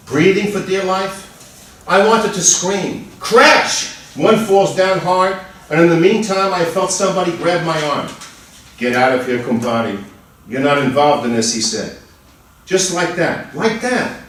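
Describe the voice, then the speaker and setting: A man's voice: sits high, a bit bright. An actor in a dramatic stage reading, playing a desperate accused man who pleads emphatically with a judge. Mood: agitated. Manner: emphatic, pleading, drawn-out outbursts. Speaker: reader playing the accused in a play. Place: large indoor room at a college festival reading. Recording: echoey hall, far from the mic.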